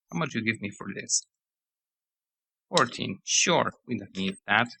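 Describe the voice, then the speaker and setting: A man giving rambling let's-play commentary on a game, hoarse as he loses his voice, reading menus and game text aloud. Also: on the low side, sharp tone, no room sound, low-quality recording. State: hoarse, losing voice. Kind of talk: casual gameplay commentary.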